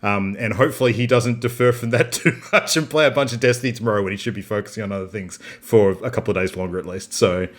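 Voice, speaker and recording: A man's voice: on the low side, fast talker, few pauses. A man hosting a gaming podcast over a video call, chatting loosely, trailing off and laughing often. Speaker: podcast host. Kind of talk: casual chat.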